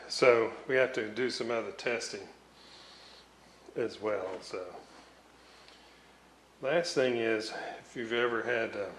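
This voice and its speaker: Slow-talking man in an echoey workshop. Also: medium pitch. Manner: unscripted, thinking aloud while working. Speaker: radio repair hobbyist and video host. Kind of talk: casual hands-on workbench narration. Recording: roomy echo, noticeable background noise.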